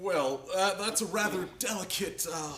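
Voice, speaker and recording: An actor playing a flustered, indignant older man of authority in a radio play, speaking haltingly. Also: high-pitched, a bit bright, soft background music. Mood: blustery, flustered. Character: flustered older judge and blustery store owner. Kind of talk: stage-like radio play acting.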